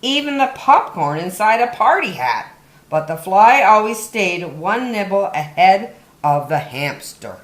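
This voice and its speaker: Slow, expressive woman reading a children's story. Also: mid-range voice. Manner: deliberate, dramatic emphasis on key words. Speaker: children's story time host reading picture books. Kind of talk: expressive read-aloud storytelling for young children. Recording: roomy, echoey indoor sound.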